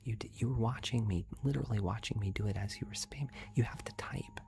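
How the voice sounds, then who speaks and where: A man hosting an ASMR livestream, speaking in a breathy whisper and rambling directly to his chat viewers. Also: quick pace, a little mellow. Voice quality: breathy whisper with creaky low voice.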